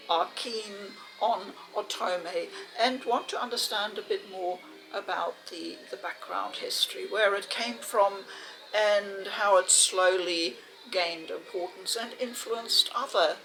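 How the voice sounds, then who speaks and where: A woman's voice: mid-range voice, fairly bright tone, a little hiss or hum, low-quality recording. A woman hosting a game review video, talking casually to viewers. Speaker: game review channel host. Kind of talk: casual unboxing and review talk.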